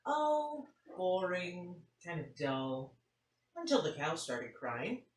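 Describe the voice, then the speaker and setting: A woman's voice: fairly low pitch, sing-song, slow. A woman voicing a nonchalant, matter-of-fact schoolgirl telling her tale in a picture-book read-aloud. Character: nonchalant schoolgirl recounting an unbelievable farm trip. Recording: roomy, faint noise, distant mic.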